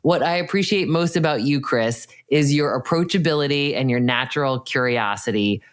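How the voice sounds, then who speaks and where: A woman's voice: rumbling low, moderate pace. A woman hosting a podcast, reading a scripted introduction and then chatting with a guest in an encouraging way. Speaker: podcast host.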